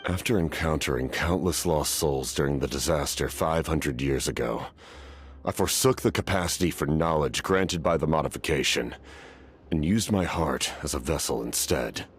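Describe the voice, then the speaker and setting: An actor playing a solemn, resolute immortal knight captain in a video game, speaking in a grave, formal, declamatory way. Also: male voice, a bass voice, a little hiss or hum. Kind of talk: dramatic video game voice acting.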